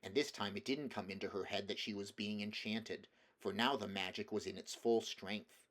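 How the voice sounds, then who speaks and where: A man reading a storybook chapter aloud in a measured, calm narration. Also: fairly low pitch. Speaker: audiobook reader.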